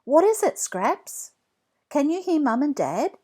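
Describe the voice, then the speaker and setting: Storybook young boy, played by a woman: raised pitch, eager, adventurous, pleading, a little worried underneath. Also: unhurried. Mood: urgent. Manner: excited, pleading, calling out. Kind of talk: children's read-along storybook character voice.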